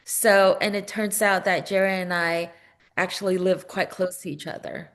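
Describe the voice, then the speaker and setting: A woman's voice: fairly low pitch, steady tone, normal speed. A woman hosting a webinar over a video call, greeting and thanking each guest as they join. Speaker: webinar host.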